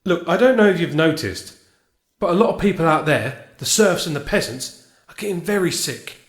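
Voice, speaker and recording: A man playing a poor, blunt male serf who talks rough and cheeky, with a British accent. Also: a little high, lively intonation, moderate pace, roomy sound, natural voice.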